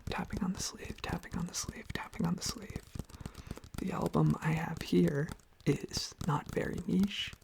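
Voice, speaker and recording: Whispery asmr person with lots of vocal fry, sleepy casual rambling, repeats stuff softly. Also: medium pitch, steady tone, unhurried. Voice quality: whispered, breathy. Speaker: ASMR video creator. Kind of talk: ASMR, softly rambling casual chat while tapping on objects. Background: tapping and clicking on objects.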